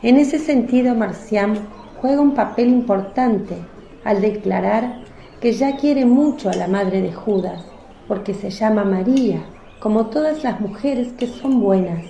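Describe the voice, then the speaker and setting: Slow, mellow woman narrator in echo. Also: mid-range voice, gravelly, highs cut off. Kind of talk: scripted narration of religious radio drama. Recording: roomy, echoing voice track.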